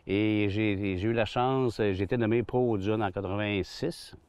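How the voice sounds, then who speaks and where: A Québécois man in his sixties tells stories in a rambling way, full of restarts and fillers. His voice sits a little low. He is recorded on a lapel mic outdoors on a golf course. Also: steady tone, moderate pace, a little mellow, clean tone, no room sound. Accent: Québécois French. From Quebec. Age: 60s. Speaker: golf club general manager. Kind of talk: relaxed outdoor interview.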